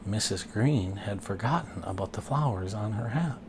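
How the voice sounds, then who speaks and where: A man reading a children's picture book aloud, expressively and with deliberate pauses. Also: on the low side, lively intonation, noisy background. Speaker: storybook reader and dad.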